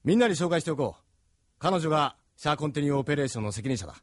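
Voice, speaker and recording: An actor playing a steadfast, authoritative captain of a resistance ship in an anime audio drama, with a firm manner. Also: male voice, on the high side, animated, rapid-fire, noisy background, close to an everyday voice. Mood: brisk. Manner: firm, commanding.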